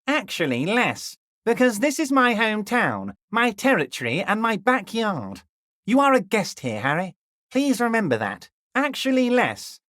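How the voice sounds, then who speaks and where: Sly fake-friendly union boss for a video game, fairly high for a man, swoops up and down a lot, dry close recording. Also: huge pitch swings, a little slow, a bit bright, no echo, exaggerated voice. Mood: ingratiating. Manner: smooth, ingratiating, slyly patronizing. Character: scheming jovial middle-aged male union boss. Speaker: dock union leader character.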